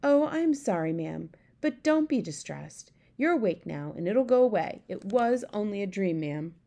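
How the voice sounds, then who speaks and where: A woman's voice: mid-range voice, expressive, moderate pace, gravelly, mellow. A woman voicing a middle-aged royal maid in a storybook, deferential, flustered and prone to stammering. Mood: soothing, deferential. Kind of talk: storybook character voice.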